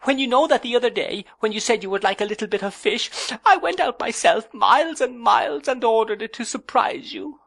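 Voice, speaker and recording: A man voicing a childish young wife who pleads and sobs in a high voice. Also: lively intonation, normal speed, few pauses, a bit bright, heavily acted. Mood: tearful.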